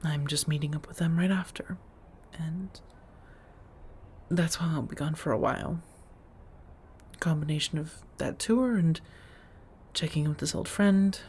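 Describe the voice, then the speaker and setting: A woman playing a gentle, guarded, centuries-old vampire roommate in a soft-spoken ASMR roleplay, with measured, formal phrasing and endearments. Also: low voice, husky, full character voice. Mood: reassuring. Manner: measured, formal wording with endearments, hesitant confessions.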